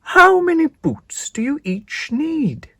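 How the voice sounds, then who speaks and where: Grand, high-pitched spider godmother. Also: big pitch swings, dry recording, clean studio sound, heavily acted. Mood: commanding, grand. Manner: slow, drawn-out, loud pronouncements. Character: enormous hairy spider fairy godmother, commanding and grand.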